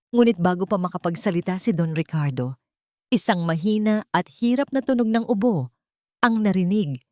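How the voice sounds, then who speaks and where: A woman's voice: lowish voice, mellow, no echo, studio quality. A woman reading dramatic scripted story narration as an audiobook narrator.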